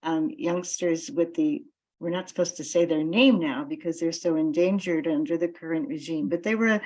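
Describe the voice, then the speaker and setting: A woman activist giving an informal campaign talk, speaking in deliberate, halting phrases with emphatic stresses on key words. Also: deep voice, a little mellow.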